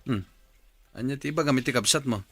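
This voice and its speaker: Casting: man plays concerned Ilocano husband, medium-pitched, counselling, dry radio drama sound with faint music. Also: fast, a bit bright, slightly rough, dry recording. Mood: inquiring. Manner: questioning, advising.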